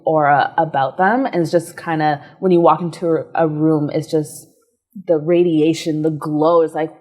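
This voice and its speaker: A young woman entrepreneur answers interview questions in a casual, reflective way, pausing and restarting as she thinks. Her voice sits low for a woman. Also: fairly even intonation, a little mellow. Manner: reflective, hesitant, many fillers and restarts, laughs easily.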